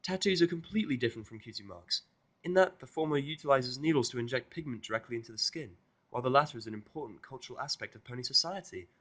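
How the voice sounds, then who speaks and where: A man's voice: medium pitch, lively intonation, fast talker, a bit bright, voice pushed up. A man voicing a studious, easily flustered young female unicorn pony who speaks in formal, pedantic phrases for a fan fiction audio drama.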